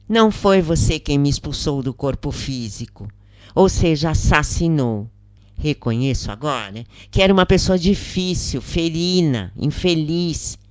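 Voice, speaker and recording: A woman voicing a rich dead woman's repentant spirit, confessing frankly and deliberately in an audiobook. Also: deep voice, a little mellow, no echo.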